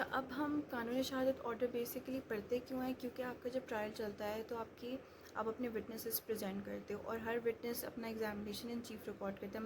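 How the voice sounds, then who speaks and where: A woman explaining law step by step, many hesitations and restarts. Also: medium pitch. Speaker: law lecturer. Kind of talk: explanatory lecture. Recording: noisy background hiss.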